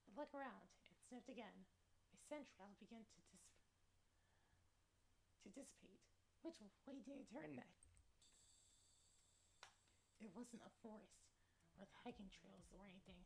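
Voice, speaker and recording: A woman's voice: medium pitch, a little slow, vocal fry, pauses often, a little mellow, slight background noise, poor audio. A woman voicing an anxious, self-mocking lost young male cat in a visual novel, narrating dramatically and fretfully. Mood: worried.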